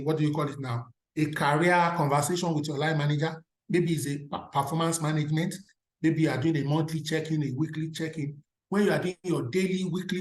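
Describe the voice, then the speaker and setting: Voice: mid-range voice, a little mellow. Emphatic Nigerian speaker in a roomy space. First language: Yoruba. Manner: emphatic, asks the audience rhetorical questions. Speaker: career speaker at an alumni event. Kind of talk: motivational talk. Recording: roomy echo.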